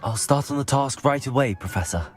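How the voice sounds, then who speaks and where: Guy playing eager young wizard student, earnest n polite, lots of pitch movement, music behind. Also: expressive, fairly quick, close to an everyday voice. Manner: earnest, courteous. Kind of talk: scripted video game acting.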